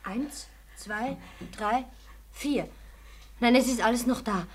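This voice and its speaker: An actress playing a fussy, practical colonel's wife in an old radio play, with a husky voice. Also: female voice, a little high, slow. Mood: fussy, indignant. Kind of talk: 1940s radio drama acting. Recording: 1940s radio recording.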